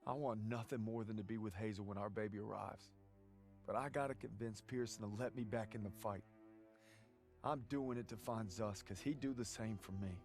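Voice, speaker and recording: A man playing an earnest, loyal young American soldier in a war game, with a gravelly voice and American accent. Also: lowish voice, normal speed, a little mellow, soft background music, natural voice. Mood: sombre, earnest. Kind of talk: video game cutscene acting and narration.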